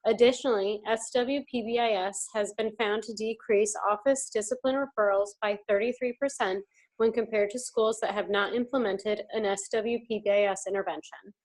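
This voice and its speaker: A woman from New Jersey, an instructor and life coach, giving a clear, formal overview in a prepared presentation. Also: medium pitch, fairly even intonation, normal speed, darkish tone, poor audio. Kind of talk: reading a prepared class presentation.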